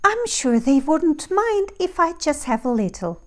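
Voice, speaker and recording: Woman doing a little storybook girl, high voice, polite n eager, childlike. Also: big pitch swings, measured pace. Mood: tempted. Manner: exclaiming, childlike.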